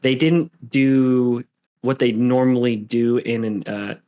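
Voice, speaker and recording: A man's voice: mid-range voice, fairly even intonation, slow, mellow, smooth. A man co-hosting a casual podcast, laid-back and loose, full of likes and you-knows. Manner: laid-back, filler-heavy, says "like" and "you know". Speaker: podcast co-host.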